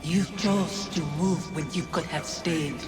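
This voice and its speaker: An actress playing the accusing phantom of a commander's dead wife in a video game, speaking deliberately and formally. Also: female voice, fairly low pitch, natural voice. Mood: cold reproach. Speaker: voice actor in game. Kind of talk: dramatic video game acting. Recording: music over dialogue. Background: game soundtrack music.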